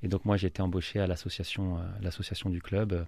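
A man in his thirties, a football coach, answering radio interview questions in a relaxed way with many hesitations and restarts. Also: deep voice, fast talker, no room sound. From Paris region, France. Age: 30s. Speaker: football coach and club manager. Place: radio studio.

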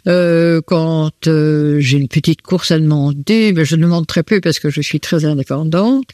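A woman's voice: low voice, dark tone, no room sound, clean studio sound. A woman answers interview questions at home in her living room, hesitant and full of fillers. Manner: slow and hesitant, many fillers. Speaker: host of students at home.

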